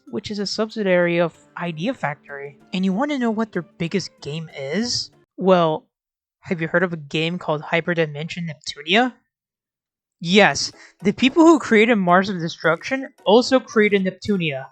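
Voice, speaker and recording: A woman's voice: on the low side, no echo. A woman hosting a video essay, giving casual scripted commentary with wry, sarcastic asides and informal, sweary phrasing.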